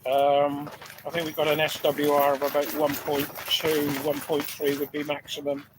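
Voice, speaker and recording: Man, ham radio operator, deep-sounding voice, easygoing with fillers, slightly high pitch. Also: normal speed, background noise. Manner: relaxed, hesitant with fillers. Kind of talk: ham radio contact chat. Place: outdoor field station on a generator.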